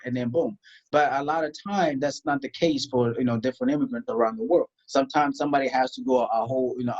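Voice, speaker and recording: A man's voice: medium pitch, a little mellow, highs cut off. A man from South Sudan, a guest in an interview, talking emphatically and asking rhetorical questions. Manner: emphatic, rhetorical questions, frequent "you know" and "right?". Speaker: guest, tax strategist. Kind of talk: conversational interview.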